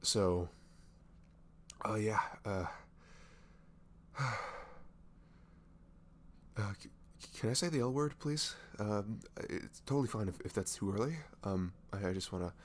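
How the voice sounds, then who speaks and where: A man playing a nervous, dorky best friend confessing love in an intimate ASMR roleplay, halting and stammering, with sighs and soft breathy moments. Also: fairly low pitch, expressive, slight background noise. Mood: flustered, giddy, then nervous, earnest. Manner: halting, stammering, sighing, breathy laughs, often dropping to whispers. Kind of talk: roleplay ASMR audio drama, intimate and conversational.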